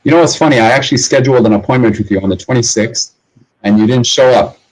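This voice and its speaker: A man's voice: mid-range voice, fast, low-quality recording. A young man in his twenties, a guest in a heated online debate, answering carefully and defensively while being interrupted. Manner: defensive, careful, asks to be let speak.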